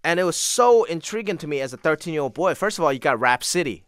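A man from Hong Kong in his thirties, a comedian guest telling animated stories in a casual interview. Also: a little high, quick pace, clear, resonant tone, no echo, clean studio sound. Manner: animated storyteller.

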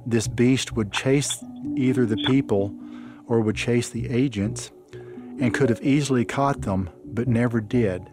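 Male storyteller, fairly deep, deliberate narration with music clearly underneath. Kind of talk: first-person narrated true story.

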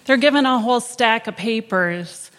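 A woman's voice: fairly high pitch, normal speed, fairly bright tone. A woman attorney on a panel, telling a client story in a plain, explanatory way. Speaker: immigration attorney. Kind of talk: panel discussion. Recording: long hall reverb.

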